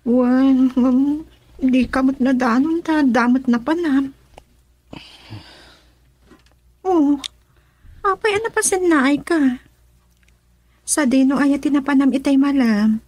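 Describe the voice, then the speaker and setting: A woman's voice: high voice, measured pace, pauses often, a little mellow. An actress playing a coaxing young woman confidante in a radio drama, with an Ilocano Filipino accent. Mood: curious, surprised.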